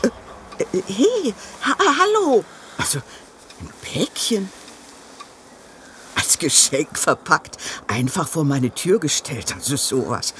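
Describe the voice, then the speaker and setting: Very animated doting mother. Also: sits low, slow, pauses often, slight background noise, heavily acted. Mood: puzzled, curious. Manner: effusive, affectionate, expressive. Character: doting, excitable mother of a grown daughter. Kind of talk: audio drama acting.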